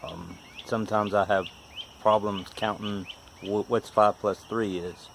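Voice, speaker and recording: A man in his forties with a stutter talks casually and haltingly in a folksy, self-deprecating vlog. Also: lowish voice, steady tone, vocal fry, a little hiss or hum. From West Virginia, United States. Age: 40s. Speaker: vlogger, amateur car mechanic. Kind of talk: casual unscripted vlog talk.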